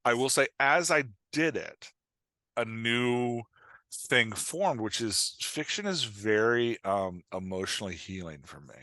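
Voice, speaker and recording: A man's voice: mid-range voice, expressive, a little slow, pushed and bright, no room sound. A middle-aged man speaking candidly and conversationally as an interview guest, self-deprecating and acting out imagined remarks. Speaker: podcast guest, fiction writer and dialogue coach.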